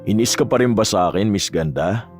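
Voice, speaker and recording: Guy acting as cocky rich playboy, lowish voice, smug flirty teasing, romance audio drama, bit of music behind. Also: a little fast, natural voice. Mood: taunting, coaxing. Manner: smug, teasing, drawling taunts.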